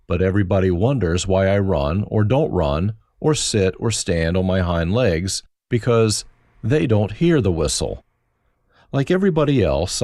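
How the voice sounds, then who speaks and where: A man reads his own life story, his low voice rising and falling with a lot of movement. His humor is dry and self-mocking. The sound is dry and close, with no room around it. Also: darkish tone, dry recording. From Germany. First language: German. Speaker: autobiography narrator. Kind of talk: reflective first-person memoir reading.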